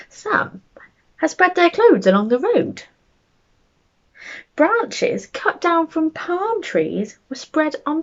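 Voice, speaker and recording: A woman telling a bedtime story to young children, speaking gently and expressively as she reads aloud and chats to them. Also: sits high, lo-fi sound.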